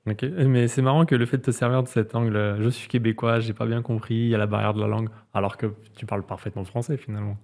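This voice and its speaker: A man from France hosting a podcast, asking questions in a relaxed, casual way. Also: on the low side, fast talker, vocal fry, hardly any pauses. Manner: relaxed, friendly questioning with fillers. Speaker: podcast host. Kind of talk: casual interview.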